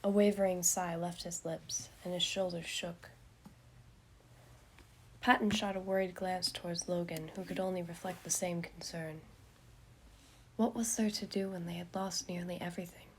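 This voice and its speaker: A woman getting over a cold, reading fan fiction aloud in a hushed, breathy voice. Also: on the low side, flat delivery, moderate pace, slight background noise. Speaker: podfic reader.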